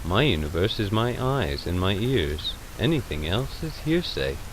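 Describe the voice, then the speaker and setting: Audiobook role: philosophical male hermit acted by a male reader, low-pitched, quietly polite and detached, with lilting variation. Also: sing-song, a little slow, slight background noise, low-quality recording. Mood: calm. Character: mild, detached, philosophical male recluse, gently bemused. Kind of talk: audiobook character voice.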